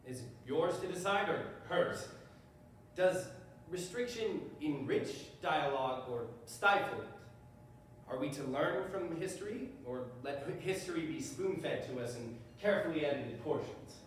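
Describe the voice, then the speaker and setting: A man on stage playing a principled defense attorney with a measured, rhetorical courtroom delivery. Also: a little high, expressive. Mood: persuasive. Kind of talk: live stage readers theater. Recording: distant mic, echoey room, faint noise.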